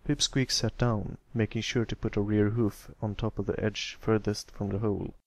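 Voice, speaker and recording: A man's voice: lowish voice, level tone, moderate pace, dry recording. A man giving a scripted narration of a fan fiction story as an amateur reader.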